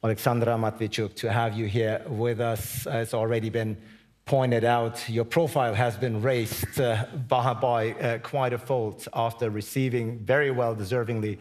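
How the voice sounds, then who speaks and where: A man's voice: mid-range voice, flat delivery, normal speed, a little mellow. A man in his forties moderating a stage interview, polite and hesitant with frequent fillers. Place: large conference stage before an audience.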